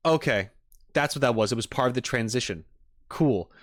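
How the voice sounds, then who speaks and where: A man's voice: medium pitch, lively intonation, normal speed. A man hosting a stream, giving casual, enthusiastic and analytical reaction commentary in a rambling way as he talks with chat. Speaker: streamer host.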